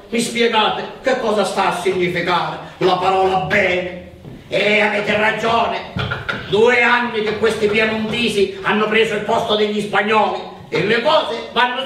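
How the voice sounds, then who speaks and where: High and echoey, this is an anxious Sicilian village housewife in a radio play. The manner is folksy and confiding, like gossip, heard at a distance over faint noise. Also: male voice. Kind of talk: theatrical radio drama dialogue.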